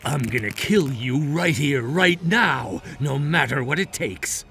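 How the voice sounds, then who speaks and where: Man voicing an earnest young yakuza, slightly high. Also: lively intonation, takes it slowly, sharp tone, soft background music, heavily acted. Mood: menacing. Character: young male yakuza narrator, downbeat and earnest. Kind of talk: scripted anime explainer dub narration.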